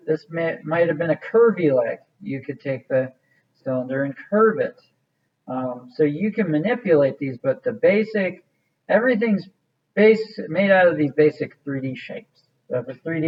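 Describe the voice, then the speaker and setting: A man giving a casual, step-by-step clay modeling lesson with many fillers, recorded from some distance. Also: a little high. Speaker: art teacher. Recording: muffled sound from a distant mic.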